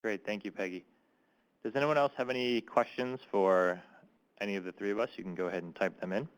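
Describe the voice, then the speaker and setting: A man moderating a webinar, relaxed as he sums up and invites questions. His voice is on the low side. He drops in easy fillers as he goes. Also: fairly quick, dull audio. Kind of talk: casual moderating.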